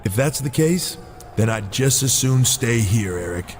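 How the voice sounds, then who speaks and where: A man's voice: mid-range voice, expressive, a little slow, a little hiss or hum, lightly acted. A man playing a cocky, stubborn pro wrestler in a video game cutscene, blunt and self-assured. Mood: defiant. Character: defiant, cocky male pro wrestler hungry for titles.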